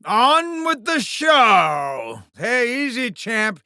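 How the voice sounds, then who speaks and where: A man's voice: sits high, lively intonation, takes it slowly, gravelly, sharp tone, no room sound, studio quality, heavily acted. A man voicing a bombastic, gleefully cruel alien game-show host villain in a video game, with a hammy, grandiose announcing delivery. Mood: scolding showman. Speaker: voice actor.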